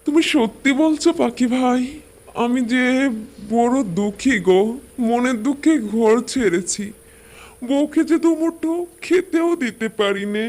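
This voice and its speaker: অভিনেতার কণ্ঠে চড়া গলার অসহায় গরিব গ্রাম্য স্বামী. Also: male voice, very high-pitched, a little mellow. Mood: pleading. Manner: plaintive, drawn-out, rustic speech. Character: poor, weary, desperate village husband. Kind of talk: Bangla animated folk-tale dubbing.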